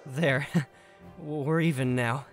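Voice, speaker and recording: A man voicing an urgent, loyal male animal-folk friend in a visual novel game, with drawn-out lines. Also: mid-range voice, steady tone, in a large hall, voice pushed up. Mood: friendly, rallying. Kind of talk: acted dialogue read in a let's play. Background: background music.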